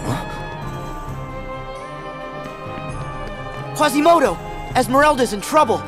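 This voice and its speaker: A man playing a plucky, earnest teenage boy hero in a video game, eager, expressive and casual. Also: very high-pitched, a little fast. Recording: long reverb, loud game music.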